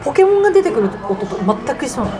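A woman chatting casually as a podcast co-host, telling stories in an animated way with exclaimed reactions. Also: on the high side, fast. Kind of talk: casual chat between friends. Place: in an oyster eatery where a co-host works. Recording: background chatter of a busy eatery. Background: crowd chatter.

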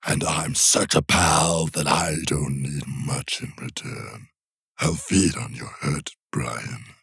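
A gleeful predatory creature speaks from a dead dog's body. The voice sits extremely deep, crawls along at a very slow pace and is husky and rough. It taunts slyly, in a dry, close recording. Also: male voice, a bit bright, dry recording, heavily acted. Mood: taunting. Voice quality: low, husky, slow and drawn out. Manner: taunting, sly. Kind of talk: audiobook horror narration.

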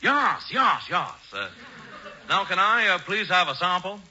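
A man's voice: high-pitched, lively intonation, measured pace, bright, forceful tone, exaggerated voice. A man playing a prissy, haughty young rich schemer in a 1940s radio comedy. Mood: fussy, disdainful. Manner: prissy, haughty, hesitant uh-filled phrasing. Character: Hubert, snooty, fussy rich young schemer. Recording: muffled, noisy 1940s radio broadcast.

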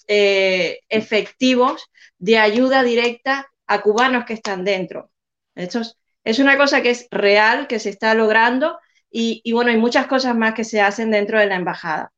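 A woman's voice: a little high, lo-fi sound. A young Cuban-born woman with a Spanish accent, speaking as a guest and pressing her points with impassioned repetition. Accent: Spain Spanish. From Cuba. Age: young adult. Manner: impassioned, repeats phrases for emphasis. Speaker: guest, civic group representative. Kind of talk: earnest advocacy in a live chat.

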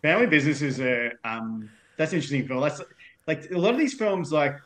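A man's voice: fairly high pitch, animated, fast talker, fairly bright tone. A man in his thirties with an Australian accent, a podcast guest chatting casually in a meandering way, with lots of fillers. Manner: meandering, full of fillers and restarts. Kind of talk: casual chat.

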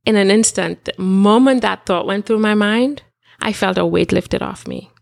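Middle-aged woman, life coach guest, candid reflective storytelling, some vocal fry, close dry sound. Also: medium pitch, moderate pace, darkish tone, dry recording. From Trinidad and Tobago. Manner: reflective, candid, with filler words. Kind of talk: casual personal storytelling.

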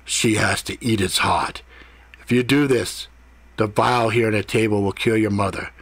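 A man's voice: on the low side, steady tone, normal speed, fairly bright tone, husky, dry recording. A man voicing a cruel, towering, sly villain with a menacing tone in a story reading.

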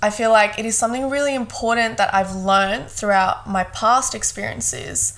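A woman's voice: fairly high pitch, moderate pace. Young woman from South Africa chatting. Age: 20s. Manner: relaxed, thoughtful, fond of "hundred percent". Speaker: guest co-host. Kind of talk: casual podcast chat.

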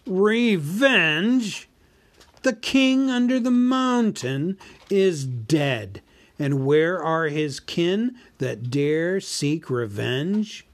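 A man doing a slow, gloating old dragon. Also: on the high side, very animated, voice pushed up, heavily acted. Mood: scornful. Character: vast, boastful, menacing old dragon.

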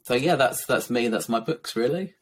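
A man from Essex with a soft, gentle voice, a little croaky and tired, talking candidly and reflectively as a guest, with many ums and soft laughs. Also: mid-range voice, normal speed. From Essex, England. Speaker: guest, children's author. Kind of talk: casual interview talk.

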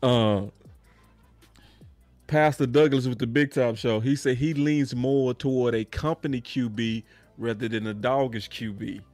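A man hosting a casual sports talk show, taking over the talk with loud, playful trash talk and slang. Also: mid-range voice, steady tone, measured pace, no room sound, soft background music.

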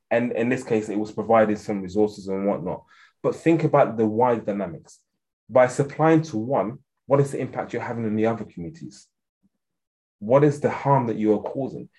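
A man's voice: medium pitch, warm tone. Earnest man with an English accent. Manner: earnest, thinks aloud with frequent restarts. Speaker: guest expert on African security policy. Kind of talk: conversational interview answer.

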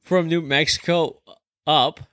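A man's voice: fairly high pitch, steady tone, slow pace, sharp tone, dry recording, studio quality. A man chatting informally as a podcast co-host, rambling as he goes. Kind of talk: casual chat.

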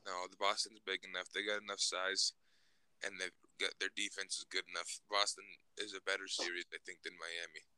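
A man's voice: low voice, flat delivery, a little fast, pushed and bright, smooth, dry recording, rough recording. A young man chatting casually about basketball, mostly giving short replies but firmly arguing his own picks. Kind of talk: casual sports chat.

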